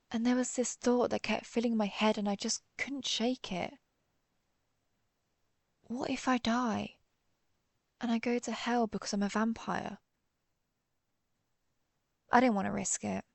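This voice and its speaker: A woman's voice: a little high, vocal fry, no room sound. A woman playing a centuries-old vampire hunter turned vampire, guilt-ridden but resolute, in a somber, confessional audio roleplay monologue. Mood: anguished, ashamed. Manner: confessional, somber, measured storytelling.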